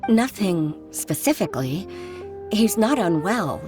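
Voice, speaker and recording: An actress playing an earnest, dutiful young official and daughter in a video game, polite and gentle in manner. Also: female voice, mid-range voice, big pitch swings, measured pace. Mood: troubled, wistful. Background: music.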